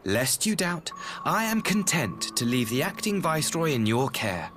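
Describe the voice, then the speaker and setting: An actor playing a smooth, scheming young ambassador in a video game, mock-courteous and theatrical. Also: male voice, on the high side, very animated, moderate pace, over music. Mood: smug, taunting. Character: smooth, scheming young imperial ambassador, cruelly mocking brother. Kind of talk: video game cutscene acting.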